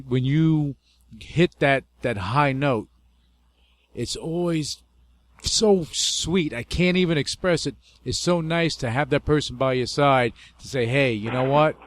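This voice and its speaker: A man's voice: mid-range voice, takes it slowly. A man hosting a radio interview, talking in an effusive, rambling way. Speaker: radio show host. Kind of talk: casual interview chat.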